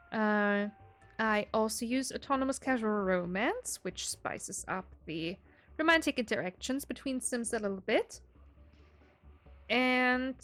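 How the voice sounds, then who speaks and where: A woman's voice: a little high, fairly bright tone. Chatty German-accented young woman. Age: 20s. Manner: chatty, self-deprecating, laughs often, some restarts. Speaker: gaming video creator. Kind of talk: casual gameplay explanation.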